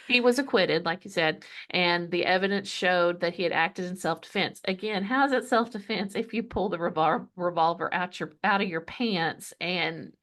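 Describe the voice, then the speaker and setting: A woman's voice: on the low side, moderate pace, hardly any pauses, fairly bright tone. A woman co-hosting a podcast, telling a story in casual conversation with chatty, wry asides. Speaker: podcast co-host.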